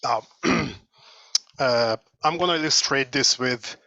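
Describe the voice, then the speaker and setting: A man with a Hungarian accent, a sociology lecturer giving a measured, thoughtful seminar talk over a video call. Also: mid-range voice, bright, forceful tone, dry recording. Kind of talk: academic seminar presentation.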